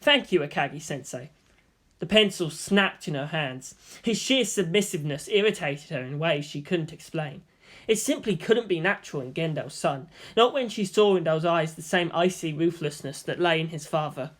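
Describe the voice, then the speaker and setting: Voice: mid-range voice, moderate pace, a bit bright. A storyteller narrates scripted fan fiction in audiobook form. The voice sits in a middle register between the dialogue.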